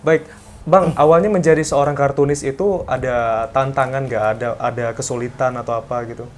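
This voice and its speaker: A man's voice: mid-range voice, fairly even intonation, a little fast. A man hosting an interview program, chatty and upbeat, recorded in an artist's studio. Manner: upbeat, chatty with frequent affirmations. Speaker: program host. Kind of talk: on-location interview.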